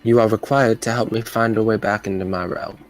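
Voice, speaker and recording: A man's voice: on the low side, fairly even intonation, a little fast, faint music behind, close to an everyday voice. A man doing a stranded sage from another realm. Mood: demanding.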